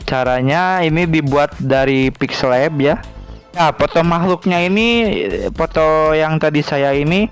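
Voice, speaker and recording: Halting man, casual tutorial. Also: on the high side, faint music behind. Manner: halting, informal, with frequent pauses and tag words. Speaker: video creator and tutorial presenter. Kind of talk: casual screen-recorded tutorial narration.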